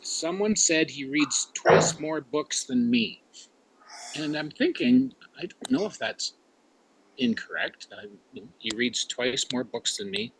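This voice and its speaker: Man, Canadian-raised, club grammarian; unhurried, musing, filler-heavy delivery, slightly high voice. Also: big pitch swings, vocal fry, fairly bright tone, slight background noise, low-quality recording. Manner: slow and musing, with frequent fillers. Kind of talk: casual meeting report.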